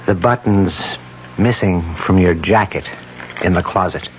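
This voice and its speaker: An actor playing a weary police detective and loyal husband in a 1970s radio drama, subdued and low-key. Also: male voice, measured pace, slight background noise. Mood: somber. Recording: dull lo-fi 1970s radio recording.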